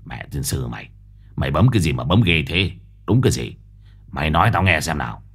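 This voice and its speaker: A brusque, cursing investigator with a husky, very deep voice that swings widely in pitch, as a man puts him on. He growls his words, short-tempered and demanding in a spoken ghost story. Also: big pitch swings, fairly quick, exaggerated voice. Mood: gruff. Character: gruff middle-aged male investigator, impatient, cursing. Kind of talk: audiobook character voice.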